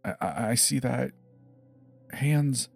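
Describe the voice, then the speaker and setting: A man's voice: mid-range voice, slow, creaky, slightly rough, no room sound, faint music behind, heavily acted. A man voicing a scared seven-year-old boy in a dramatized horror story, hesitant and pleading, stammering over his words.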